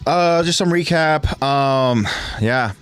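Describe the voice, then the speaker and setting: A man's voice: a little high, slow pace, a bit bright, clear, resonant tone. A man hosting a podcast in a casual, informal way, with a slightly nasal voice. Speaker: podcast host. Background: background music.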